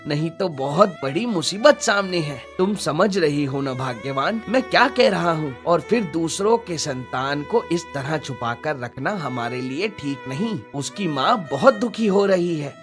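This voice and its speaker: A man's voice: a little high, a bit bright. A man voicing a worried, humble, conscientious fisherman in a Hindi cartoon story, earnest and deferential.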